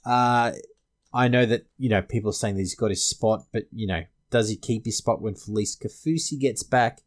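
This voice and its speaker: A man's voice: lowish voice, normal speed. A man with an Australian accent hosting a casual fantasy sports panel discussion. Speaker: podcast host.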